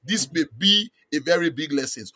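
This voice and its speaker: High bright-voiced male interviewer. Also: lively intonation, moderate pace. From Nigeria. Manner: earnest, probing questions. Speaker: host. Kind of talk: interview questions.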